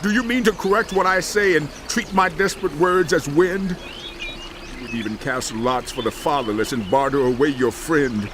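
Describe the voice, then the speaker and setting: A man playing anguished, lamenting Job, highish voice. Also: normal speed, background noise, lightly acted. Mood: indignant, pleading. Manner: impassioned, lamenting. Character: Job, anguished suffering biblical man, bitterly protesting. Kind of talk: dramatized scripture reading.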